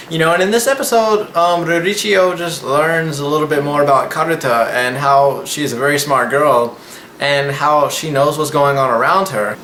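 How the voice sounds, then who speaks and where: A man's voice: medium pitch, sharp tone. A man in his twenties hosting a casual anime review, rambling and slangy as he recaps. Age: 20s. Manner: slangy, rambling, loose phrasing. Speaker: anime reviewer and channel host. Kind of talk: casual vlog episode recap. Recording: echoey room with faint background noise.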